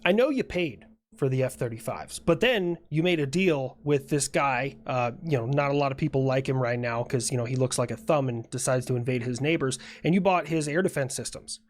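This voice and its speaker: Satirical skit explainer: middle pitch, conversational, wry, matter-of-fact delivery. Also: brisk.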